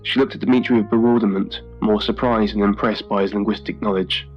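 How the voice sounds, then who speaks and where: A man reading a scripted horror story in a measured, dramatic storytelling manner. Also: lowish voice, steady tone, muffled recording. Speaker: story narrator. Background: music.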